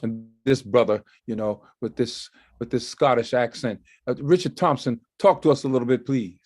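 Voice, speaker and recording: A man, a bass singer, introducing a guest with praise and hesitant fillers. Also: normal speed, darkish tone, dry recording. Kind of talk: introduction at a gala.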